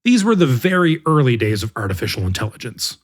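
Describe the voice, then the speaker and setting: A man narrating an article in the first person as the regular reader of a podcast, with a measured, expressive delivery. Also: medium pitch. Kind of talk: scripted narration.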